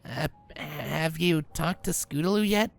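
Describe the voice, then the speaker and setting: A man's voice: a little high, unhurried, gravelly, faint music behind, pitched above the performer's own voice. A man voicing a guarded, flustered young adult female pony in a fan fiction audio story.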